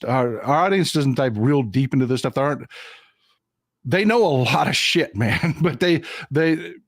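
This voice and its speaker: A man's voice: a little high, dry recording, studio quality. A man with a Texan accent hosting a casual live stream, folksy and emphatic, who swears casually.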